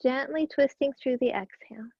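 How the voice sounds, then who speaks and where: Yoga teacher, female, high-pitched and raspy, gentle breath cues, dry and dull lo-fi sound. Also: normal speed, no echo. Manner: calm, gentle cueing with breath. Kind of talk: guided yoga class instruction.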